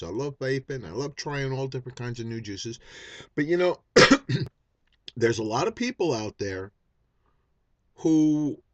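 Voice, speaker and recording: A man's voice: medium pitch, no room sound. Blunt, swearing man in his fifties. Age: 50s. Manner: blunt and profane, with many restarts and fillers. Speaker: vaping YouTuber. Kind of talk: unscripted vlog rant.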